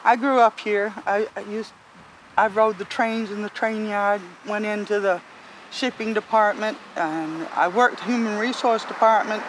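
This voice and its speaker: A woman speaks bluntly and earnestly in an outdoor interview. She stands beside a street with clear outdoor noise around her. Her voice sits at a middle pitch. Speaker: local resident interviewee.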